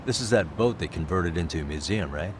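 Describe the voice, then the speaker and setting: Low-voiced, wry detective. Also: male voice, animated, fairly quick, noisy background. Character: cool, confident male private detective, dogged and sardonic. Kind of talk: video game cutscene acting.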